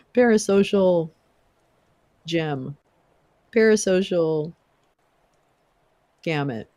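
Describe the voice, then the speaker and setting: A young woman hosting a casual livestream, chatting with viewers, swearing and laughing often, her voice wearing hoarse. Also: fairly low pitch, very slow, long pauses, dark tone. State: losing her voice. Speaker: livestream host. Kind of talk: casual livestream chat reading viewer comments.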